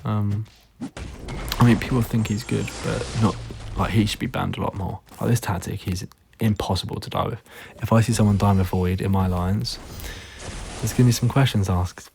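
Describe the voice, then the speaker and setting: A man with a hoarse, fading voice, giving tired, laid-back gameplay commentary full of ums. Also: on the low side, darkish tone. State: hoarse, losing his voice; tired, yawning late at night. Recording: noisy recording with clicks.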